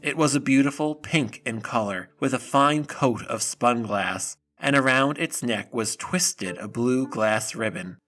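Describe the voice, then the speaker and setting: A man's voice: medium pitch, unhurried, hardly any pauses, a bit bright. A man narrating a scripted audio drama in the manner of a storybook reading.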